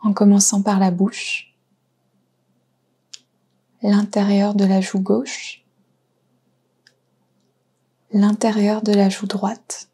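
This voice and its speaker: Female meditation guide: mellow, very husky and breathy tone, soft soothing phrasing with room to pause. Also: mid-range voice, monotone. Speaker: yoga nidra guide.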